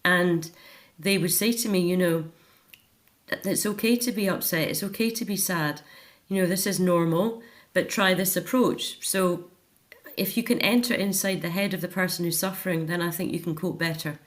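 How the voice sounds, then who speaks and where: A woman's voice: fairly low pitch, moderate pace. A middle-aged Scottish woman talking earnestly and reflectively as a guest in a personal interview. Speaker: interview guest, author and care home volunteer.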